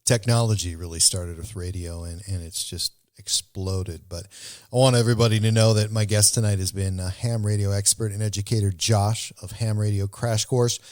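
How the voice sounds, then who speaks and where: A man's voice: fairly low pitch, fairly even intonation, normal speed, darkish tone. A man hosting a podcast, speaking to a guest and to his listeners. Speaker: podcast host.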